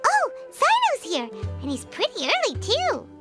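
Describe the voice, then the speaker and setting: A woman voicing a small, bubbly, curious childlike fairy companion with a squeaky voice in a video game. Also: huge pitch swings, unhurried, heavily acted. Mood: surprised. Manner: refers to self in third person, exclaiming. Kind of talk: animated game character acting. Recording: music playing behind the voice.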